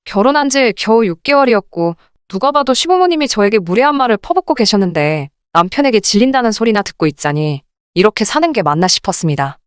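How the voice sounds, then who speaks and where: A woman reading a scripted first-person story in an expressive, indignant radio drama style. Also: mid-range voice, fast talker, few pauses, clear, resonant tone, no echo, clean studio sound. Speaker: narrator of dramatized listener stories.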